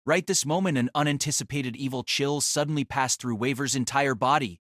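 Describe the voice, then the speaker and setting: A man's voice: medium pitch, fairly quick, fairly bright tone, dry recording, clean studio sound. A man reading dramatic scripted story narration for an audio drama.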